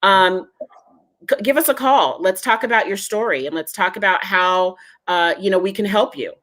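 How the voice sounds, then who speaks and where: A woman's voice: medium pitch, normal speed, a bit bright. A woman speaking as a genealogy foundation head in a casual interview, earnest and reassuring as she gives advice.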